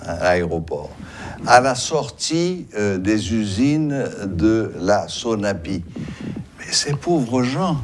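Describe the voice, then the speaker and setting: Slow, hesitant male host. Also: lowish voice, sing-song, background noise. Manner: slow and hesitant, many drawn-out pauses. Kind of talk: TV talk show presenting.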